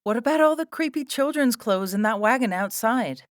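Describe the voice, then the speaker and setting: An indignant young schoolgirl from a storybook, acted out by a man, wailing her accusations in a high-pitched voice. She is defiant and sounds close and dry. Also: animated, normal speed, dry recording. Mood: incredulous, accusing.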